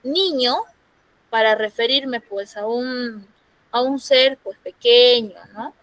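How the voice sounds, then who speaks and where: A woman's voice: on the high side, slow, fairly bright tone, no room sound, low-quality recording. A woman from Peru teaching an online class in Peruvian Spanish, explaining step by step and often checking in with "¿no?". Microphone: computer microphone on a video call.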